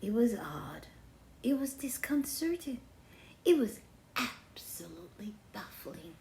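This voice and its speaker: A woman's voice: a little high, very animated, slow pace, gravelly, a little hiss or hum, full character voice. A woman voicing a boastful, dramatic wheel of cheese in a children's storybook, with a theatrical, exaggerated delivery. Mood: dismayed, bitter. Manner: theatrical, exaggerated, wailing to hushed. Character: boastful, dramatic wheel of cheddar learning humility. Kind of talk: first-person children's storybook read-aloud.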